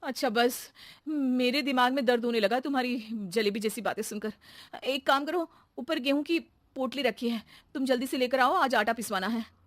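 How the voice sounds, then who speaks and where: A woman's voice: on the high side, moderate pace, no room sound, lightly acted. A woman voicing an exasperated middle-aged man who is at his wits' end, in a cartoon story. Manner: flustered, pleading to be left alone.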